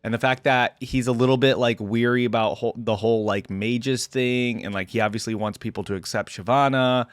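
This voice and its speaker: A man's voice: mid-range voice, steady tone, a little fast, few pauses, dry recording, studio quality. A man hosting a game reaction video, commenting casually and thinking aloud in an informal, conversational way.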